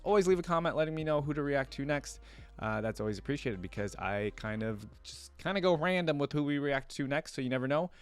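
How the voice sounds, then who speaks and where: A man hosting a reaction video, reading story text aloud and commenting in an informal, rambling, conversational way. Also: medium pitch. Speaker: YouTube reaction video host.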